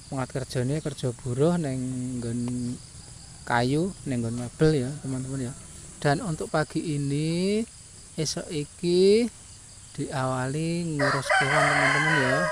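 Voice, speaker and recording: A man from rural Java with a Javanese accent, narrating his daily chores in a casual vlog, often repeating himself and tagging phrases with a questioning filler. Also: mid-range voice. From rural Java, Indonesia. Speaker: village vlogger, farm labourer. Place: outdoors by a goat pen in a village. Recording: noisy outdoor village ambience.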